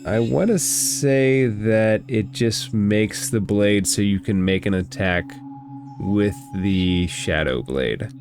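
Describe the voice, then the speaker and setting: A man's voice: fairly low pitch, takes it slowly, a little mellow, soft background music. A man acting as game master, narrating and explaining rules in a conversational way during a tabletop role-playing game.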